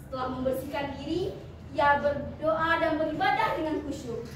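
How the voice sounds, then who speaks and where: A teenage girl performs a folk tale on stage with a very high voice, lively and dramatic, turning to the audience often. Her voice bounces around a big echoey hall. She is picked up from far off, with noise behind her. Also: moderate pace. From West Sumatra, Indonesia. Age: teen. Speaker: student storyteller. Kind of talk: expressive storytelling performance.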